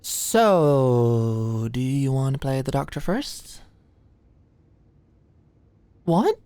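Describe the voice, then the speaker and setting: Woman acting an anxious, slow-talking young study buddy. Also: a bass voice, big pitch swings, dark tone, no room sound, heavily acted. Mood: flustered, protesting. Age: young adult. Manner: nervous, stammering, hesitant, playfully defensive. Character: anxious, flustered, endearing short female medical student study buddy. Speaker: ASMR roleplay voice performer. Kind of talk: ASMR roleplay acting, intimate and conversational.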